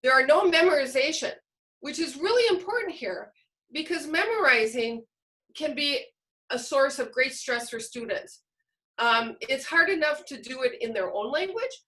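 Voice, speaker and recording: A woman with a fairly high-pitched voice leads an online workshop for teachers. She explains things step by step and puts questions to her listeners as she presents over a video call. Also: fairly bright tone.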